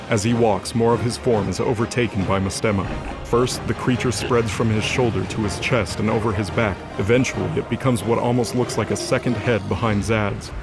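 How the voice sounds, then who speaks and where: A man narrating an audio drama in a dramatic scripted style, with music behind him. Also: on the low side.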